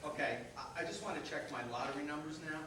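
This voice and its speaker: A man's voice: a little high, fast, close to an everyday voice. A man playing a dry, grumbling older husband in a community reader's theater comedy. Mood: distracted, dry. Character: grumbling, distracted older husband reading the paper. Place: reader's theater performance in echoey venue. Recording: very echoey, distant, noisy hall recording.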